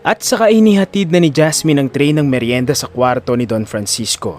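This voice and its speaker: A man's voice: medium pitch, brisk, a little mellow, soft background music. A man narrating a scripted audio drama in a dramatic storytelling style.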